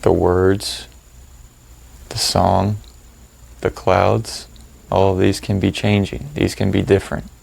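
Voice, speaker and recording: Low, gravelly man guiding a slow meditation. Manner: calm, hushed, unhurried with long pauses; informal, plain-spoken. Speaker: meditation guide. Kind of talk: slow guided meditation, unscripted and conversational.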